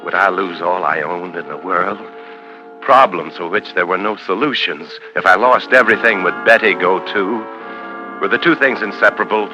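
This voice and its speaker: An actor playing an ambitious, tormented, possessive husband in a 1950s radio suspense drama, with brooding, intense, clipped delivery. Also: male voice, deep voice, moderate pace, highs cut off, close to an everyday voice. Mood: brooding, anguished. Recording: old 1950s radio recording with music.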